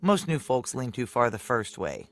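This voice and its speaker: A man with an American accent playing a jaded, no-nonsense security chief in a noir audio drama, narrating in weary, deadpan asides. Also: mid-range voice, normal speed. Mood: dry, confiding.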